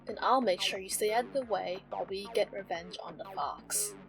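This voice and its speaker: A woman's voice: mid-range voice, a little fast, vocal fry, soft background music, lightly acted. A woman playing a bitter, vengeful supervillain with wounded pride, menacing and dramatic, in an audio drama.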